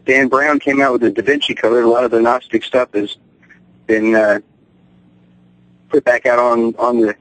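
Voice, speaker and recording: A man co-hosting a radio talk show, chatting in a relaxed, brief way. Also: medium pitch, moderate pace, long pauses, dry recording, rough recording. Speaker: co-host.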